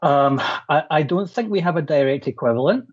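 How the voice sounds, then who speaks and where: A man's voice: a little high, expressive, a little fast, husky. A man hosting an online talk, introducing a guest with wry jokes. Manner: wry, jokey. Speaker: host. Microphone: video call. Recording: dull lo-fi sound.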